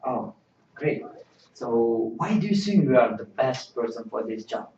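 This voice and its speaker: A man's voice: medium pitch, lively intonation, unhurried, darkish tone, slight background noise. A man playing an interviewer in a mock job interview, asking simple questions in non-native English. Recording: distant, roomy, dull lo-fi sound.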